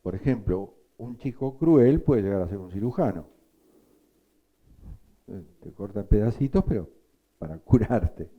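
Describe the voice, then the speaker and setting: A man teaching a psychoanalysis class, explaining slowly and quizzing his students. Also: fairly low pitch, lively intonation, dull audio. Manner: slow, explanatory, asks the class questions. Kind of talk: lecture.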